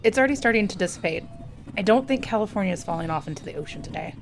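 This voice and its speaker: An actress playing a casual, level-headed scientist in an audio drama, with an offhand, dry way of talking. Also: female voice, on the low side, lively intonation, racing, vocal fry, faint music behind. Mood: focused, puzzled.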